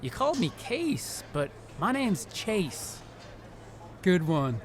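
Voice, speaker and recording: A man playing an eager, talkative young American rookie wrestler in a video game cutscene, chatty and excitable. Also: sits high, slow, exaggerated voice. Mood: unsure.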